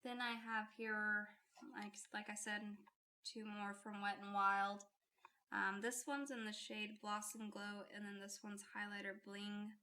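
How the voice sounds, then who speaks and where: A woman's voice: mid-range voice, level tone, a little slow, sharp tone, slight background noise. A woman hosting a casual makeup vlog, chatty and friendly, her voice still a little off from a recent illness. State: recovering from illness, voice off. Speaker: beauty YouTuber.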